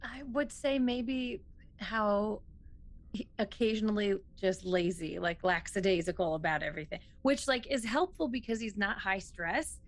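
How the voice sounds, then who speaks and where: A woman's voice: medium pitch, moderate pace. An American woman talking casually and conversationally as a player in a tabletop game. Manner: informal, conversational. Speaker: player and show crew member. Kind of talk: casual chat.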